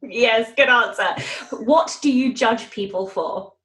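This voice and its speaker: A woman hosting a casual, playful podcast interview, expressive and teasing in manner, recorded with a roomy sound. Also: fairly high pitch, takes it slowly. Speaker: guest host interviewing the show's host.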